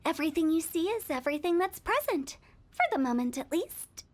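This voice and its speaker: A woman's voice: very high-pitched, very animated, brisk. A woman voicing a mischievous young woman who runs a funeral parlor, playful and teasing. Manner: playful, teasing, breezy salesmanship. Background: game music.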